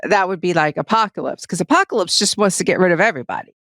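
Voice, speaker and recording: A woman's voice: fairly low pitch, lively intonation, fast talker, fairly bright tone, dry recording, clean studio sound. A woman with an American accent in casual podcast discussion, giving her opinions earnestly and emphatically as a co-host.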